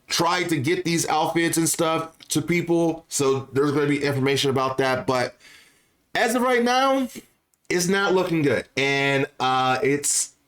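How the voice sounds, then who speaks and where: A man's voice: a little high, expressive, a little slow, a bit bright. A man giving casual, opinionated gaming commentary to viewers, speaking in an informal, slangy way and repeating phrases for emphasis. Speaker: gaming YouTuber.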